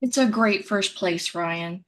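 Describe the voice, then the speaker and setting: An actress playing a chatty, well-meaning young wife in a virtual staged reading of a play. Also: female voice, medium pitch, slow pace, a bit bright. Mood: bright, eager.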